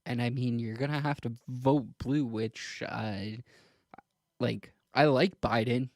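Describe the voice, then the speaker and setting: Hesitant man with a gravelly creak. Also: mid-range voice, steady tone, takes it slowly, no echo, studio quality. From Canada. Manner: hesitant, tentative, stumbling over words. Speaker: podcast co-host. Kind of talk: casual political discussion.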